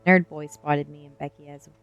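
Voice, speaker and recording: A woman with a low-pitched voice narrates a first-person story as an ASMR reading. Quiet music plays behind her. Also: fairly even intonation, a little fast. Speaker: streamer reading a novel aloud.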